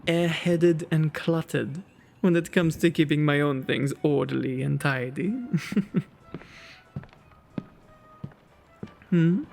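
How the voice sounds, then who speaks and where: A man's voice: a little high, steady tone, measured pace, gravelly, darkish tone. A man playing a kind, genteel vampire host in an ASMR roleplay, with doting, courteous, old-fashioned phrasing. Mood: genial.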